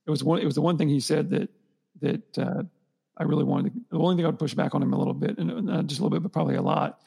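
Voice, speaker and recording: Fast, gravelly, husky man rambling. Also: fairly high pitch, mellow. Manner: rambling, restarts sentences, thinks aloud. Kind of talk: conversational podcast discussion.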